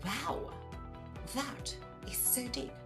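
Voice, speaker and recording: A woman putting on a curious, easily awed young friend in an audio drama podcast. The voice sits fairly high and sounds breezy and playfully over-amazed, with light music behind it. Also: very slow.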